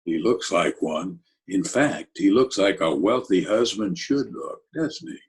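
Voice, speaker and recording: Deep, slow, husky Broadway tough guy man acting. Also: lively intonation, heavily acted. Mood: musing, scheming. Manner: deliberate, terse. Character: laconic, scheming, soft-hearted Broadway tough guy. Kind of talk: amateur old-time radio drama acting. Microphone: video call recording.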